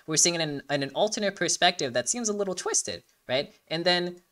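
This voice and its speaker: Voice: medium pitch, expressive, a little fast, fairly bright tone. An enthusiastic host giving casual reaction commentary, rambling and often repeating phrases while searching for words. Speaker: video host.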